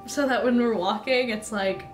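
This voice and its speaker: A woman's voice: fairly high pitch, moderate pace. A young woman playing a tabletop roleplaying game, talking casually in informal, piecemeal phrases. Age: young adult. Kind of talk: casual chat during play. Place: indoor studio game table. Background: background music.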